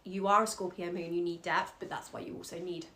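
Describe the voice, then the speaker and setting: A woman's voice: lowish voice, fairly even intonation, brisk, sharp tone. A Scottish woman, an astrologer, giving an earnest, encouraging chart reading in loose conversational speech.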